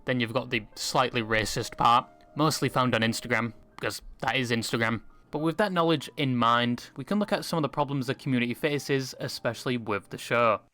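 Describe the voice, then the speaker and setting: A man's voice: mid-range voice, fairly quick, few pauses, bright, forceful tone. A man delivering scripted narration as a video essayist. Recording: music behind the voice.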